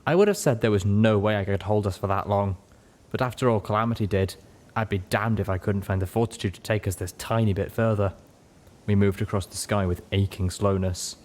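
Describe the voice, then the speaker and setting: A man's voice: fairly low pitch, brisk, a little mellow. A man reading a scripted first-person adventure story as an audiobook narrator. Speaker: audiobook reader.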